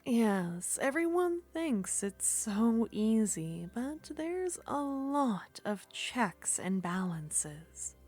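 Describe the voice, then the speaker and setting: Sweet clingy witch played by a woman, soft and whispery, super slow and drawn out, little bit of music behind. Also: a little high, exaggerated voice. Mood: coaxing. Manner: soft-spoken, slipping into whispers, coaxing and flattering. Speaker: ASMR roleplay performer. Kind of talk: ASMR roleplay.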